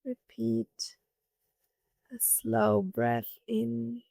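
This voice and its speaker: Very slow, creaky female meditation guide. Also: on the high side, fairly even intonation, no echo. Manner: gentle, soothing, long pauses between short phrases. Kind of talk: guided meditation narration, scripted.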